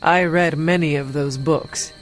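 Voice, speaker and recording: An actress playing a formal, resolute supernatural warrior in a video game cutscene, speaking directly. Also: female voice, low-pitched, fairly even intonation, unhurried, faint music behind, rough recording. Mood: questioning.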